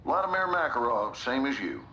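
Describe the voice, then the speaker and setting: An actor playing a scheming, defiant military general in a video game, negotiating assertively. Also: male voice, mid-range voice, big pitch swings, brisk, bright, forceful tone, slight background noise, rough recording. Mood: calculating. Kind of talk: cinematic game dialogue.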